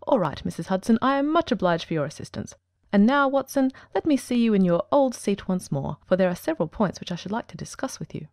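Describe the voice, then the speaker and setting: A woman's voice: medium pitch, big pitch swings, fast, a little mellow, no echo, studio quality. A woman voicing a coolly self-assured male detective genius, precise and formal, in a dramatic audiobook. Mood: brisk, appreciative. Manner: crisp, formal, commanding.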